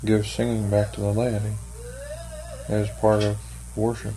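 An older man answering interview questions slowly and thoughtfully, with many pauses and fillers. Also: on the low side, monotone, dark tone, low-quality recording. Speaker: interviewee, church member. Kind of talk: oral history interview.

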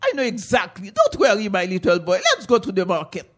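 An older woman storyteller voicing a wise, kind old woman who speaks calmly and reassuringly. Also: mid-range voice, brisk. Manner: calm and reassuring.

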